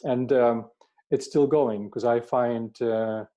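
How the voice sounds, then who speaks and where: Older male interviewee, Dutch accent. Mid-pitched, soft dark tone, reflective and halting, repeats words while explaining. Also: fairly even intonation, lo-fi sound. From Netherlands. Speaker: workshop facilitator, therapist being interviewed.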